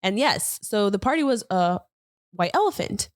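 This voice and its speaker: A woman co-hosting a casual couple's podcast, telling stories in an animated way and laughing often. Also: mid-range voice, moderate pace, dry recording, studio quality. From United States. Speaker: podcast co-host. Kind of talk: casual chat.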